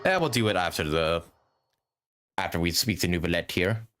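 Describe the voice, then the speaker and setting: Animated man streaming a game. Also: sits low, moderate pace. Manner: informal, chuckly, swears. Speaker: live streamer. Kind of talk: casual live game commentary. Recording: game music behind.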